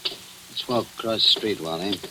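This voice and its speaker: An actor playing a wry, easygoing small-town police chief in a 1940s radio drama, dry and understated. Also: male voice, fairly low pitch, moderate pace, close to an everyday voice. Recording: noisy old radio recording.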